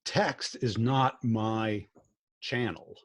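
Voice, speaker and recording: A man's voice: fairly low pitch, very slow. A man hosting a podcast, talking bluntly and plainly in casual conversation. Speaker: podcast host.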